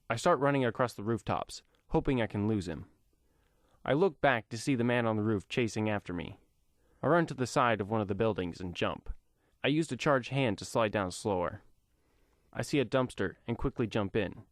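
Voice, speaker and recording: A man narrates an audio comic in the first person. His voice sits a little low, and the recording is very dry with no room around it. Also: dry recording.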